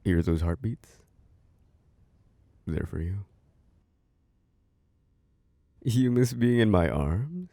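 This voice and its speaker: A man playing a doting, protective boyfriend in an intimate ASMR sleep-aid roleplay, murmuring soft, coaxing reassurance and endearments. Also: fairly low pitch, animated, slow pace, no echo. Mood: cozy, affectionate.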